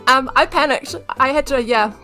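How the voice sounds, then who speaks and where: A woman with a very high, bright voice chats casually as a game player. She sounds giggly, flustered and self-mocking, with music underneath. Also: normal speed. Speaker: player on a role-playing podcast. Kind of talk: casual chat.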